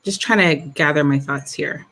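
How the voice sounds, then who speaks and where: Guest: woman declutter coach, low gravelly voice, slow and thoughtful. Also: fairly bright tone, poor audio. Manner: slow, reflective, searching for words. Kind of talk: casual interview talk.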